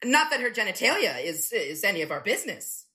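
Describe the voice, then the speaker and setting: Woman as naive young drag queen, chatty, bright, animated pitch, campy radio play. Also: a little fast. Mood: wide-eyed, chatty. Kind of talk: campy comedic audio drama acting.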